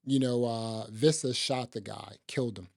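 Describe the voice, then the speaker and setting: A man's voice: mid-range voice, fairly even intonation, moderate pace, creaky, a little mellow, no echo, clean studio sound. A man co-hosting a podcast, reviewing films in casual, slangy talk and often checking for agreement. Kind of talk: casual film review chat.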